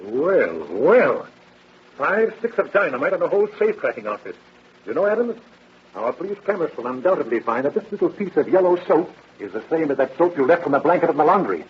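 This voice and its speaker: An actor playing a brisk, confident male police detective, pressing and wry, in a 1930s-style radio crime drama. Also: a little high, very animated, slightly rough, exaggerated voice. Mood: smug, wry. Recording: dull old radio recording.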